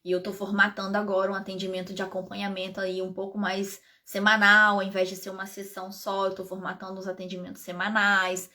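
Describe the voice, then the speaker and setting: A Brazilian woman, an integrative therapist and pharmacist, chatting casually to live-stream viewers with a slightly hoarse voice and frequent asides. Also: mid-range voice, completely flat delivery, quick pace, few pauses, pushed and bright.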